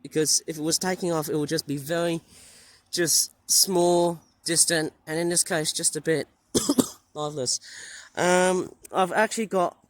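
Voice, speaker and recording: A man with a croaky, hoarse voice from the flu, rambling and hesitant as he gives a casual running commentary while plane spotting outdoors by an airport runway. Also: a little high, measured pace, no room sound. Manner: rambling, hesitant, filler-heavy.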